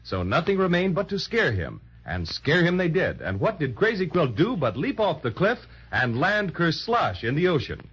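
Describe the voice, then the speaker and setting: An actor as a storybook narrator for children, telling the tale in a playful, dramatic 1930s radio style. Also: male voice, on the high side, slightly rough, exaggerated voice. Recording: muffled 1930s radio transcription recording.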